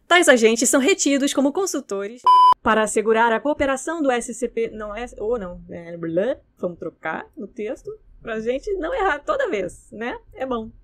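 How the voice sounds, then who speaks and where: High-voiced Brazilian woman narrating. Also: very animated, normal speed. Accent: Brazilian Portuguese. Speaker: narrator of horror fiction records. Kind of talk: scripted narration outtakes with casual asides.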